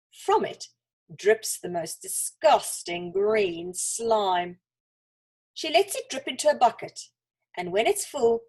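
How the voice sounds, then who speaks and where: A woman reads a children's story expressively, with dramatic pauses and deliberate emphasis. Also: fairly high pitch, darkish tone, slightly rough.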